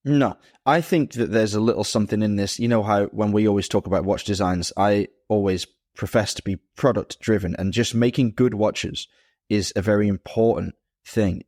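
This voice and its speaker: A man's voice: fairly low pitch, darkish tone, no echo, studio quality. A man co-hosting a casual podcast chat, explaining things fluently and with enthusiasm. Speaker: podcast co-host.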